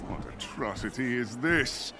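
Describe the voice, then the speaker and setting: A man's voice: medium pitch, unhurried, noisy background, natural voice. A man voicing a pompous, good-humoured Norse god warrior in a video game, theatrical and archaic in phrasing. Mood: jovial, haughty.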